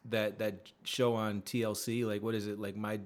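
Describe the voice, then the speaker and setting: A man's voice: lowish voice, little pitch movement, moderate pace. A man co-hosting a casual talk show, rambling loosely with lots of fillers and restarts. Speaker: podcast co-host. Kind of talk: casual chat.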